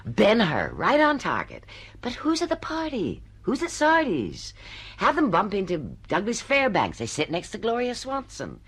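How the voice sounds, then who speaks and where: An actress playing a shrewd, domineering woman editor in a radio drama, with an emphatic, brisk, bossy manner. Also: medium pitch, huge pitch swings, breathy edge. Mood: bossy, instructing. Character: brash, domineering female book editor, shrewd and pushy.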